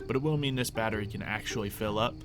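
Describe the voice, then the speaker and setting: A man's voice: lowish voice, quick pace. A man giving casual, chatty let's play commentary, explaining things as a gaming video host. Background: background music.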